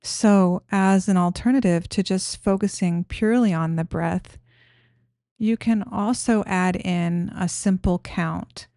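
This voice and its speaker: A woman guiding a meditation in a gentle, soothing, unhurried manner, giving simple instructions. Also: on the low side, dry recording, clean studio sound. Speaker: meditation guide.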